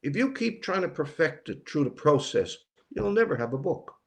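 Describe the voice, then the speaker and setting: An older man from Newfoundland, a writer being interviewed, speaking reflectively with pauses and repeated words for emphasis. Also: fairly high pitch, very animated, vocal fry. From Newfoundland, Canada.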